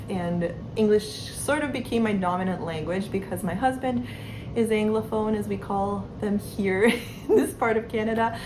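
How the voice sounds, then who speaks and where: A woman in her thirties, a homeschooling mother, talking to camera in an earnest, unhurried way with soft fillers. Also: mid-range voice. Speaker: homeschooling mother sharing curriculum picks. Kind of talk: casual talk to camera. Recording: steady background noise.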